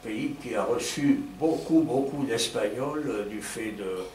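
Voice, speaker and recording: A man's voice: medium pitch, unhurried, a little mellow. Man asking questions in echoey hall. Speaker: questioner at a debate. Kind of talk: asking questions after a lecture. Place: auditorium. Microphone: distant. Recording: echoey hall, distant mic, faint noise.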